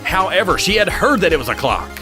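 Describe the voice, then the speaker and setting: A man's voice: high voice, sing-song, fast talker. A man voicing an earnest young male defense lawyer, determined and pressing. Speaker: defense attorney. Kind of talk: fan dub of game dialogue. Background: game music.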